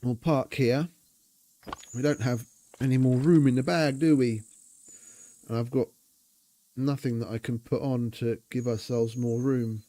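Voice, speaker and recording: A man's voice: mid-range voice, fairly even intonation, measured pace, pauses often, warm tone, dry recording. A man giving casual commentary while streaming a game, thinking aloud and talking to his chat. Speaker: gaming streamer.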